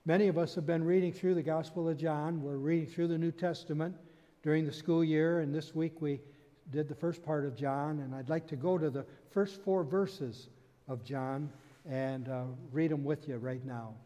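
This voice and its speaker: A man's voice: fairly high pitch, fairly even intonation, normal speed, mellow. An older man preaching a sermon from a church pulpit, telling a story and explaining scripture in an earnest manner. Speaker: pastor. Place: church sanctuary stage, indoors. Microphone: stand mic at pulpit. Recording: long hall reverb.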